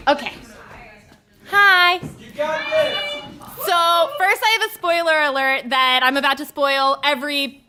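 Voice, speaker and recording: Bright, high-voiced woman telling confessional comedy. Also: takes it slowly, long pauses. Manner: candid, wry, acts out quoted lines. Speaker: storyteller. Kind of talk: comic confessional storytelling read to an audience.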